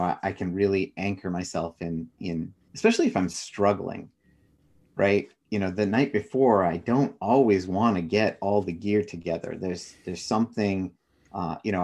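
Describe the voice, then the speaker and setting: A middle-aged man with a low-pitched voice answers as an interview guest. He talks conversationally and reflectively, working through his ideas with personal examples. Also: a little mellow.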